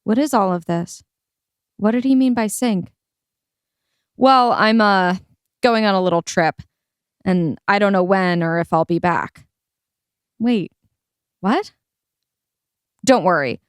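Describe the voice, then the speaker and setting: A woman's voice: mid-range voice, takes it slowly, long pauses, clean tone, no echo, clean studio sound, close to an everyday voice. A woman acting in a satirical dystopian adventure audio play. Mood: earnest.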